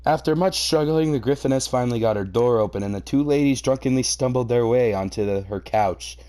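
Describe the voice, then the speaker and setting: A man's voice: mid-range voice, a little fast, mellow. A man doing a comedic reaction reading, narrating a story aloud and breaking off into mocking, sweary, theatrically exasperated commentary. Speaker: host reading a fan fiction aloud and reacting.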